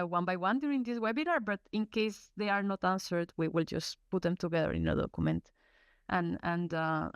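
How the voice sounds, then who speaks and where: A woman's voice: fairly low pitch, no echo. A woman from Colombia, an English speaker, presenting in a webinar with many fillers and restarts. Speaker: research program presenter. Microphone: video call.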